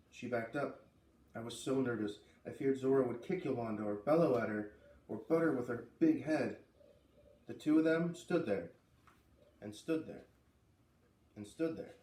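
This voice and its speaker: A man reading a children's book aloud expressively, with dramatic pauses and emphasis. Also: mid-range voice, darkish tone. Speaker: storybook reader. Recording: roomy, slightly distant, faint background noise.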